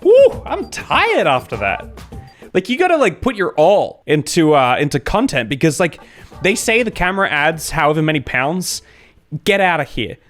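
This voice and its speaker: An Australian man talks casually to his stream in a fairly high voice that swings up and down a lot. He rambles in a chatty, joking way. Music plays along behind him. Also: fairly bright tone. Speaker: YouTuber and streamer. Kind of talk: casual livestream chat.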